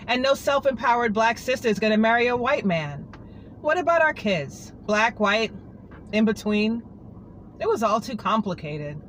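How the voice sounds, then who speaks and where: Heartbroken anchorwoman pouring her heart out to a coworker, earnest and pleading, middle pitch, as a reader puts her on. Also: fairly bright tone, a little hiss or hum, natural voice. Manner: earnest, pleading, confiding to a colleague. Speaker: monologue reader. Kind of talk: dramatic monologue reading.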